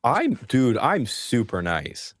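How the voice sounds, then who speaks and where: A man's voice: medium pitch, wildly swooping pitch, takes it slowly, no room sound, studio quality. A man hosting a live call-in stream, chatting casually and joking about himself. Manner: chatty, self-deprecating, joking. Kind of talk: casual chat.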